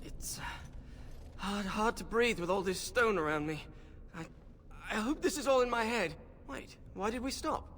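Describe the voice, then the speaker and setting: An actor playing a nervous, bookish young mage in a video game, hesitant, wordy and fussy. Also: male voice, high-pitched, expressive, normal speed, a bit bright, in a large hall, slight background noise. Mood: nervous, explaining.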